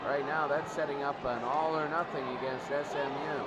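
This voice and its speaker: A man's voice: a little high, fairly even intonation, moderate pace. Man calling football through heavy noise. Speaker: play-by-play announcer. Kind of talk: live American football play-by-play commentary. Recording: very noisy outdoor-sounding broadcast recording.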